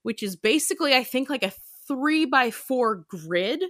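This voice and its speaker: A woman podcast host chatting casually, explaining things in an animated, giggly way. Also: high-pitched, unhurried, no echo, studio quality. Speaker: podcast host and sketch creator. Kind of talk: casual chat.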